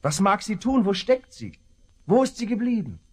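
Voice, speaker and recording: A man's voice: high-pitched, big pitch swings, moderate pace, husky, full character voice. A young actor playing an earnest, anxious young man worried about his lost dog in a Western radio play. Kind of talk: 1970s German radio play acting.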